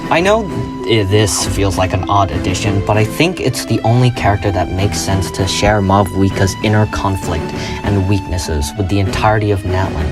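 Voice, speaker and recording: A man with a fairly deep voice narrates a scripted video essay. He phrases his theory like a dramatic story, as a creator presenting to followers. Loud music plays behind him throughout. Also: normal speed.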